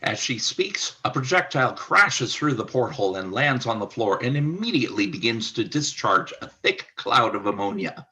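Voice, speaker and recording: A man's voice: medium pitch, big pitch swings, moderate pace, few pauses, sharp tone. A man reading scripted narration for a radio play as its narrator.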